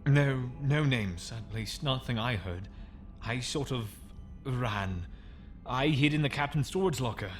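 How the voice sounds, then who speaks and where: A man playing a nervous, apologetic, cowardly freighter crewman in a sci-fi audio comic, hesitant and halting. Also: medium pitch, expressive, natural voice. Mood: frightened, ashamed. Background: music.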